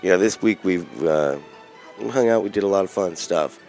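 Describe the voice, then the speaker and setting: A man's voice: low voice, normal speed, darkish tone, faint music behind. A male radio host in casual chat, rambling and jokey, often restarting his sentences.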